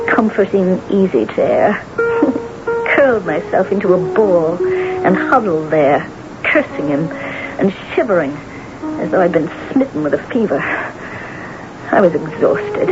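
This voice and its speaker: An actress in an old radio drama playing an imperious, high-strung woman novelist with a theatrical, scornful, biting delivery. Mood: seething, exhausted. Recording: muffled lo-fi radio drama tape.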